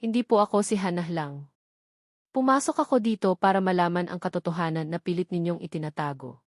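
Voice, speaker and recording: A woman's voice: on the low side, brisk, dry recording, clean studio sound, close to an everyday voice. A woman voicing a wronged, emotional daughter posing as a housemaid in a story. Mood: pained. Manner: firm, controlled, emotion-laden.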